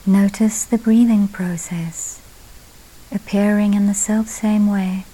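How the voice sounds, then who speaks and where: Slow, mellow woman guiding meditation. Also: medium pitch, steady tone, low-quality recording. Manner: sparse unhurried phrases with soft, breathy endings. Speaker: meditation teacher. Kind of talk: slow, calm guided meditation.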